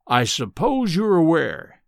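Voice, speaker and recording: A man acts out a pompous, bullying man of science from a storybook. His contemptuous voice rumbles and roars with big swings of pitch, pitched fairly high and recorded very dry. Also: huge pitch swings, slow, gravelly, no room sound, full character voice. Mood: menacing calm. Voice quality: rumbling, roaring. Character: short, barrel-chested, furious, bullying, pompous male scientist. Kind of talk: dramatic audiobook character acting.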